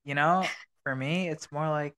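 A man's voice: fairly high pitch, measured pace, a bit bright, clean tone. A man in his early twenties chatting informally as a podcast co-host, with lots of filler phrases and loose, casual wording. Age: early 20s. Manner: informal, swears casually, peppers talk with "like" and "you know". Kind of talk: casual chat.

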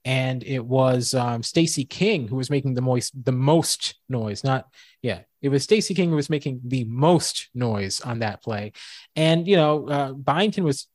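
A man with a fairly high, mid-pitched voice chats casually as a co-host. He thinks aloud, restarting sentences and leaning on fillers. The sound is dry and close. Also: animated, measured pace, dry recording. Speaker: co-host and former college professor. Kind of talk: casual podcast debate.

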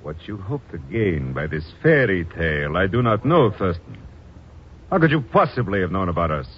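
Low-toned, swooping, coldly scheming radio villain. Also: male voice, big pitch swings, moderate pace, breathy edge, exaggerated voice. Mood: smug, controlled. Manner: formal, uncontracted, coolly menacing speech. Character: cold scheming male secret police villain posing as an ally. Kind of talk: 1950s radio drama acting. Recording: muffled, noisy old radio recording.

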